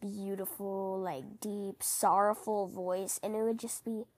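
A woman hosting a casual book-fan podcast, chatting in a rambling, animated, giggly way, her voice scratchy from a cold. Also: medium pitch, slow, dry recording. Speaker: podcast host.

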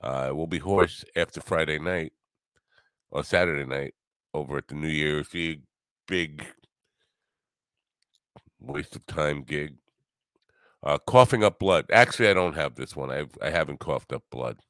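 An older man hosting his own podcast in a rambling solo monologue, grumbly and low, wry and self-mocking. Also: unhurried, pauses often, fairly bright tone, no room sound. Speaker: podcast host.

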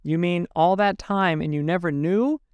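A woman's voice: lowish voice, normal speed, darkish tone, clean tone, no echo, pitched above the performer's own voice, lightly acted. A woman with a Nigerian accent voicing a blunt, sarcastic older aunt who mocks, in a story reading. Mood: mocking. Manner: sarcastic, lampooning.

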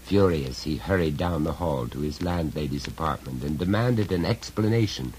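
A man reading a horror short story as scripted narration, in a measured, deliberate and dramatic storytelling manner. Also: a bass voice, lively intonation, darkish tone, a little hiss or hum, low-quality recording. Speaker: story reader.

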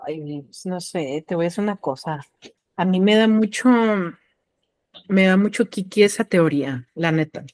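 A woman's voice: on the low side, normal speed, a little mellow, dry recording. A young woman with a Mexican accent chatting casually as a podcast co-host, hesitant and full of fillers and slang. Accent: Mexican Spanish. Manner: slangy, hesitant, thinks aloud with many fillers. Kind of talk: casual chat.

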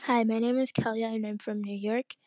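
Young woman, flat composed voice. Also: on the high side, fairly quick, no room sound, studio quality. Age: young adult. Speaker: voicemail caller. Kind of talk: prepared voicemail statement.

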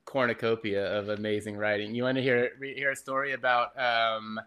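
Guy on a podcast, medium pitch, casual and jokey, kinda deadpan wry. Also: a little fast, clean tone. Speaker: podcast guest. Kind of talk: casual comedic chat.

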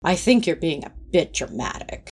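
A woman's voice: sits low, very animated, normal speed, husky, heavily acted. A woman playing a fussy, placating, easily affronted male companion in a fan audio drama, prim and coaxing.

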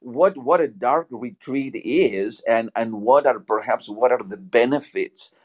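A man from Argentina with an Argentine Spanish accent, speaking English as a guest on a video-call podcast. He talks politely and haltingly, with many fillers and restarts. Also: medium pitch, darkish tone. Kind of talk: casual conversation.

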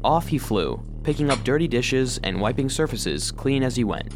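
A man's voice: mid-range voice, lively intonation, normal speed, background noise, natural voice. A man playing a gloomy, self-deprecating robot vacuum cleaner, telling his story wryly as narration for a children's audio drama. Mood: storytelling. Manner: wry, storytelling.

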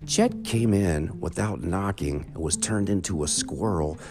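Guy doing a steady young boy, easygoing. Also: deep voice, unhurried, pitched above the performer's own voice. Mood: explaining. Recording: guitar music behind.

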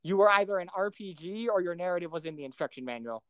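A man co-hosting a pop culture podcast in a casual, animated way, laughing easily and often restarting his sentences. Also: sits high, quick pace, smooth, no room sound, muffled recording. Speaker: podcast co-host.

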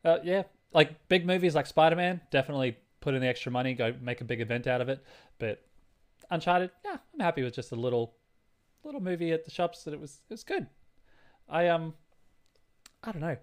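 A man's voice: a little high, animated, a little fast. A man chatting casually as a podcast co-host, in a hesitant, rambling way. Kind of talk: casual chat.

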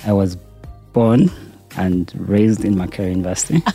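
A man's voice: low voice, expressive, normal speed, vocal fry, warm tone, soft background music. A Ugandan man in his forties answering interview questions about his work, with a hesitant manner full of fillers. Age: 40s. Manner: hesitant, many fillers and restarts. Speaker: interview guest, entrepreneur. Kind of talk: casual interview conversation.

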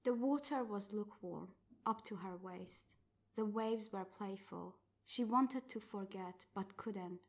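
A woman writer in her thirties with a gravelly, creaky voice. She reads her own prose aloud and then talks animatedly about her work, filling pauses and correcting herself as she goes. She grew up speaking Farsi. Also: medium pitch. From Iran. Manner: animated, with frequent fillers and self-corrections. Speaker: author, guest. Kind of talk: reading her fiction aloud, then casual interview talk.

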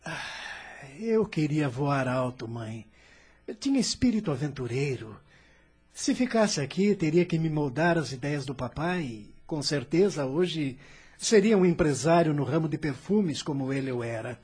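A high, forceful returned son. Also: male voice, expressive, a little slow, husky. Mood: persuasive. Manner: commanding, then pleading. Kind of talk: radio drama acting.

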